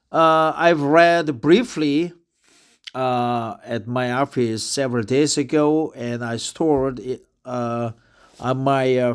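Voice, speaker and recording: Korean-accented man, 50s, non-native English, slightly drunk, slow and halting. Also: medium pitch, smooth. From South Korea. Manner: halting, many fillers and restarts. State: tipsy. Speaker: office worker practicing English. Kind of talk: casual monologue, reading aloud.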